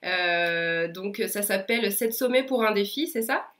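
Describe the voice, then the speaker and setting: A woman's voice: medium pitch, a little slow, a bit bright. A French woman hosting a casual interview with friendly, upbeat questions while she has a cold. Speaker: interviewer, host.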